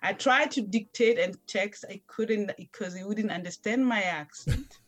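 A woman's voice: medium pitch, measured pace. A middle-aged woman whose first language is not English, a nurse and author, talking in an interview in an emphatic, determined way. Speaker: guest, night-shift nurse and author. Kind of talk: interview conversation.

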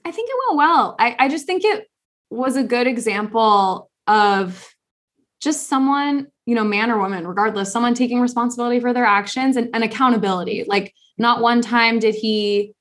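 A woman's voice: fairly high pitch, normal speed, a bit bright. A young adult woman hosting a podcast, talking earnestly in casual conversation with lots of fillers and restarts. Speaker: podcast host.

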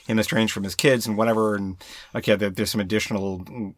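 A man co-hosting a casual film chat, speaking in a halting, mulling way with repeated false starts. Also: lowish voice, fairly even intonation. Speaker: podcast co-host.

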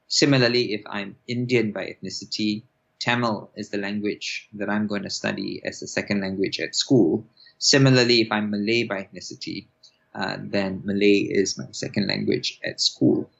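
A man in his forties from Singapore, an applied linguist answering interview questions in a measured way with many fillers and restarts. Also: on the low side, gravelly, a bit bright. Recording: dull lo-fi sound.

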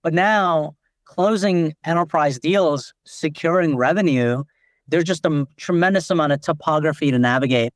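A man from Nepal speaks as a guest on a business podcast, walking through his ideas with frequent "like" fillers. His voice sits a bit high. The sound is dry and close, with no room echo. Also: moderate pace. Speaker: company founder and CEO, guest.

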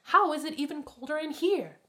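A woman's voice: a little high, animated, fairly quick. A woman voicing an indignant young woman in a cartoon mystery story, sarcastic and complaining. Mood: grumbling.

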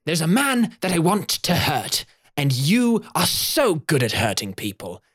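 A woman's voice: low-pitched, huge pitch swings, unhurried, breathy edge, heavily acted. A woman voicing a stout, jovial, scheming old male crook who rambles and wheedles. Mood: wheedling. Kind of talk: tabletop roleplay.

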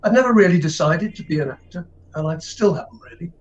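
A male actor guest with a somewhat high voice, speaking modestly and with self-deprecating humor. His voice comes through a dull, lo-fi remote line. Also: quick pace, a little hiss or hum. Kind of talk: interview answers.

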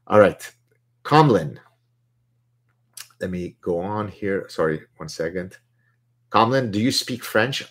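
Man, very deep voice, chatty live Q&A host, boastful, reads viewer questions aloud. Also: lively intonation, slow pace, pauses often, a bit bright, husky. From Lebanon. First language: Arabic. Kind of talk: live Q&A chat.

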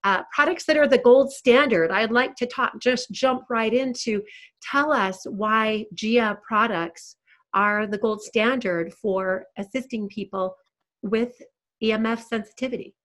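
A woman's voice: on the high side, a little slow, a bit bright. Enthusiastic woman hosting an interview. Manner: enthusiastic, effusive. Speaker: talk show host, life coach.

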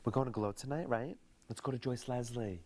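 A man's voice: a little fast, mellow, close to an everyday voice. A man playing a whiny, exasperated young Long Island woman in a comedy sketch, with faint noise behind. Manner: whiny, exasperated, shrill outbursts.

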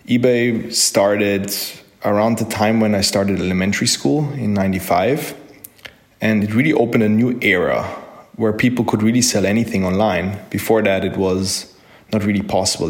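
A man's voice: fairly low pitch, fairly even intonation, normal speed. A man, an online entrepreneur telling his own story in an earnest, enthusiastic way with frequent fillers. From Austria. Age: 30s. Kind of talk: personal story told to camera.

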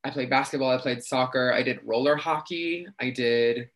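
A man's voice: medium pitch, moderate pace, fairly bright tone. Chatty young New man. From New York City. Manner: talkative, chatty with frequent fillers. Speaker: guest. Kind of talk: casual interview chat.

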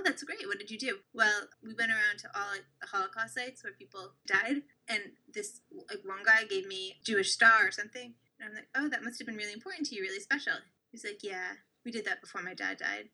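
A young woman co-hosting a casual chat, telling an animated dating story and acting out the quoted lines. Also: medium pitch, quick pace, hardly any pauses, poor audio. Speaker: co-host.

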